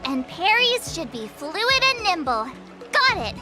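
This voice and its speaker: A woman voicing a cheerful, eager teenage girl student in an anime-style game, girlish and expressive. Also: very high-pitched, a little slow, over loud music, heavily acted. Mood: eager, perky.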